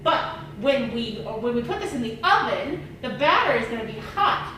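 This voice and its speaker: An excitable old scientist puppet, voiced by a woman, swoops about in a high voice. The puppet mulls things over aloud and exclaims at discoveries for young viewers. It sounds distant, in a very echoey, noisy room. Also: moderate pace. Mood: excited explaining. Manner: musing, repeats words, exclaims, thinks aloud. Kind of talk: children's educational puppet show.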